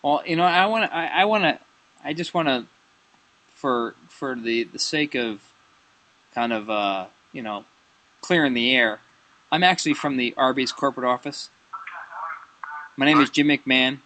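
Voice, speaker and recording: A man with a bright, forward voice and a middling pitch plays out a prank call. He rambles, stammers and doubles back on his phrases as he improvises like a comedy show host. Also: moderate pace, pauses often, poor audio. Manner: rambling, stammering, repeats himself.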